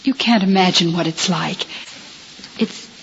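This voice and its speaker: An actress playing an anxious young blinded patient with an American accent, hesitant and earnest, in 1950s radio drama style. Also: female voice, fairly low pitch, unhurried, creaky, slight background noise. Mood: anguished. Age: young adult. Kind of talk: 1950s radio drama acting and first-person narration. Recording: muffled old radio recording with music.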